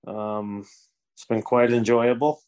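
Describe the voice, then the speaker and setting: A man's voice: mid-range voice, flat delivery, takes it slowly, darkish tone, poor audio. Nasal man with an upstate New York accent. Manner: easygoing, self-deprecating. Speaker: podcast host. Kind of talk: casual chat.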